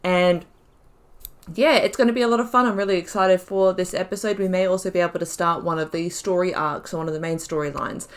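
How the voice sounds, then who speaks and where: A woman hosts a video game playthrough in a slightly low voice. She is chatty and casual, thinking aloud. Her delivery has a giggly streak. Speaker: gaming YouTuber, host of a playthrough. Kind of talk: casual gameplay commentary.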